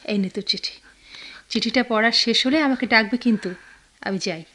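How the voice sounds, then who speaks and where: An actress playing an affectionate, excitable sister-in-law with a coaxing, teasing way of talking in a radio drama. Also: female voice, a little high, moderate pace. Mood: teasing, fond.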